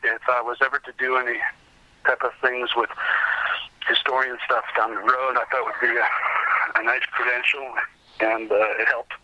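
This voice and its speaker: A man's voice: medium pitch, flat delivery, moderate pace, pauses often, bright, forceful tone, no room sound, highs cut off. A middle-aged man telling rambling anecdotes in a casual interview as a guest. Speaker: podcast guest, comics historian.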